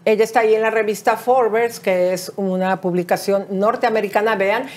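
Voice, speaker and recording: A woman's voice: mid-range voice, steady tone, moderate pace, darkish tone. A middle-aged woman with a Mexican accent, co-hosting a celebrity gossip show from a TV studio, talking straight to viewers in a chatty way with fillers. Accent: Mexican Spanish. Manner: conversational, addresses viewers as comadres, fillers eh and este. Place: bright TV studio set.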